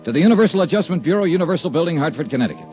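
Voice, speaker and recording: A man playing a confident insurance investigator, in brisk 1950s radio drama style, narrating and questioning. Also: a little high, expressive, faint music behind. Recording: dull old radio recording.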